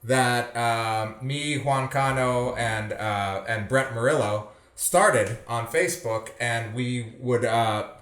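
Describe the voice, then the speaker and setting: A man in his thirties, born in Colombia and raised in California, talks at a middling pitch, circling back over his own words with many fillers. He sounds relaxed and conversational. The room gives his voice a noticeable echo. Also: takes it slowly, few pauses. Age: 30s. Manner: rambling, many restarts and fillers. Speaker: podcast co-host, here as guest. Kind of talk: casual chat.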